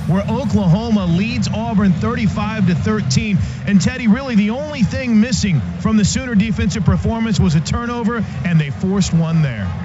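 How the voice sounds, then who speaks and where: A man's voice: high-pitched, normal speed, loud music behind the voice. A man giving live football commentary as part of a radio broadcast crew. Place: football stadium. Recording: dull lo-fi radio broadcast, clear stadium noise.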